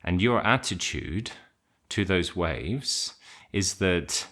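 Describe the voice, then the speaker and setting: A man with an extremely low voice guides a meditation. He speaks slowly, with plenty of space in his delivery. His phrasing is informal and a little hesitant, as he offers simple, gentle instructions. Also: lively intonation.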